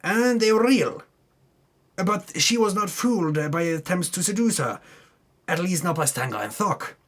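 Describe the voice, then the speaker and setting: A man giving a dramatic, tongue-in-cheek reading of a fantasy game recap, breaking off into muttered asides. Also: a little high. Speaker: narrator recapping a tabletop game campaign.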